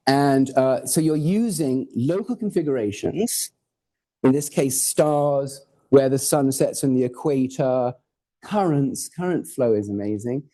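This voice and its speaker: Very dark-voiced man giving a rambling lecture. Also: medium pitch, unhurried. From born in Hawaii, raised in Portugal and England. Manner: informal, digressive, jokey, swears for effect. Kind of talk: academic talk with slides. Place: lecture hall.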